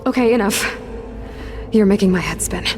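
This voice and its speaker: Young woman playing a determined game heroine, earnest and probing, talks naturally, music clearly behind her. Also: mid-range voice, normal speed, lightly acted. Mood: puzzled, pressing. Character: determined, questioning young female sci-fi adventurer. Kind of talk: scripted video game cutscene dialogue. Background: background music.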